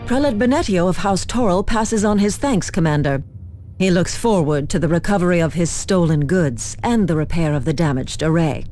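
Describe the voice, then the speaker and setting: An actress playing a formal, coolly official human military liaison officer who gives measured briefings in a video game. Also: female voice, on the low side, warm tone, faint music behind, natural voice. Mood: polite, official. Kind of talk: scripted game mission briefing.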